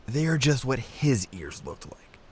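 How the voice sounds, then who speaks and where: A man's voice: medium pitch, sing-song, measured pace, husky, full character voice. An actor playing a curious, earnest young man of mixed blood in a fantasy audio drama. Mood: curious, reserved.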